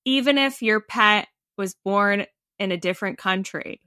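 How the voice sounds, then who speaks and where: A woman's voice: medium pitch, a little slow, bright, forceful tone, no echo, clean studio sound. A woman hosting a spiritual podcast, enthusiastic and affirming toward her guest. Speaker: podcast host. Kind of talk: casual podcast interview.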